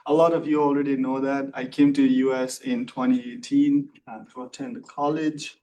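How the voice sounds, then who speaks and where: A young man in his twenties from Nepal, giving a formal thesis defense talk in an earnest, measured way with many fillers. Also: medium pitch, little pitch movement, mellow, far from the mic, a little hiss or hum. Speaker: PhD candidate presenting thesis defense. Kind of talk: formal academic presentation.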